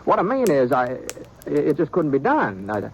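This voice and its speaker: An actor playing an easygoing drifting gunman in an old radio western, with a halting, folksy drawl. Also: a little high, huge pitch swings, slight background noise. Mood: protesting. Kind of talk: 1950s radio drama acting. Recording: old radio recording with music behind.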